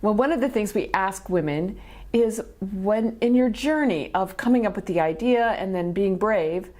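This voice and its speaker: A woman's voice: mid-range voice, moderate pace, a little mellow. A woman hosting an interview, asking questions in an enthusiastic, encouraging way. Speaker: host, interviewer. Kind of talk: friendly interview.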